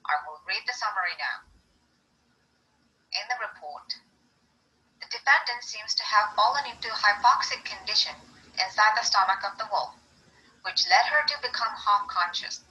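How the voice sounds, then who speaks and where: A woman playing a calm male defense lawyer, speaking in a lowered, steady, formal way. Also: moderate pace, pauses often, low-quality recording.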